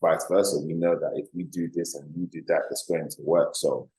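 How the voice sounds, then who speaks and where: A man's voice: extremely low pitch, little pitch movement, a little fast, warm tone, poor audio. A British-accented man, a music producer, chatting casually as a guest on a video call, repeating phrases for emphasis. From United Kingdom. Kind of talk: casual chat.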